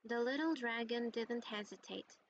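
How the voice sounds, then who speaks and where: A woman playing a gentle storyteller, reading a fairy tale with soft, measured delivery in a video game. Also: fairly high pitch, dull audio, slight background noise, lightly acted.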